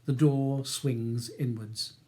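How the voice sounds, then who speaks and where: A man's voice: medium pitch, steady tone, slow, darkish tone. A man with a British accent reading scripted audiobook narration as the author of the novel.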